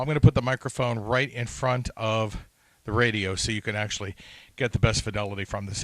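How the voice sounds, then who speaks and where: A man's voice: medium pitch, a bit bright, no echo. Plainspoken man with a dry, close sound. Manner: plainspoken, informal. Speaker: radio restorer and seller. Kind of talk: casual product demonstration.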